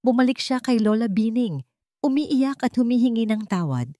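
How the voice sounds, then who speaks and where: A woman's voice: a little high, sing-song, moderate pace, dark tone, no room sound, studio quality. A woman with a Filipino accent reading a scripted folktale for children as a storyteller. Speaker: storyteller and channel host.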